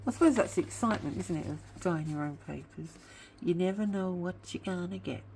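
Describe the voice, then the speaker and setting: A woman with a somewhat low voice talks through her craft work at home in a loose, rambling way. A sore tooth gives her voice a slightly whiny edge, and a faint hum sits underneath. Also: brisk, vocal fry, warm tone. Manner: chatty, rambling, admits to waffling. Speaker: craft vlogger. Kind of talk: casual craft show-and-tell chat.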